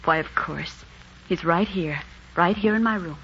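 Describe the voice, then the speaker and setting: A woman's voice: lowish voice, measured pace. An actress playing an earnest, secretive young woman in love in a melodramatic 1940s radio drama. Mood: bright, nervous. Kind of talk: 1940s romantic radio acting. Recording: muffled old radio recording, noisy.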